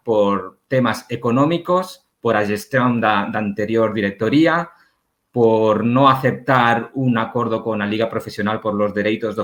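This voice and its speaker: A man with a Catalan accent speaks Portuguese as a guest on a remote panel, his voice sitting at an ordinary middle pitch. He drifts into Spanish words and stops to restart his phrases as he explains. It sounds like a plain video-call hookup. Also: a bit bright. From Barcelona, Spain. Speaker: guest journalist. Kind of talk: casual panel discussion.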